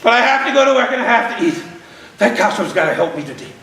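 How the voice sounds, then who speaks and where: A man's voice: very high-pitched, very animated, quick pace, sharp tone, full character voice. Weary, loyal hen in a told story, fussy and exclaiming, as a man puts her on. Echoey room, noise behind. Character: hardworking kind hen, tired but loyal.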